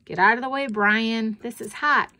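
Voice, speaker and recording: Storybook big sister, schoolgirl, impatient and bossy, quick to complain played by a female reader. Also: a little high, expressive, a bit bright.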